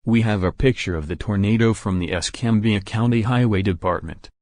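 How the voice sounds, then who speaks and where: A man's voice: fairly low pitch, brisk, a little mellow, dry recording, clean studio sound, natural voice. A man playing an urgent, increasingly alarmed news broadcaster in an amateur disaster radio drama. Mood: grave, alarmed. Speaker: news anchor. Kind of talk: breaking-news radio announcing in a fan drama.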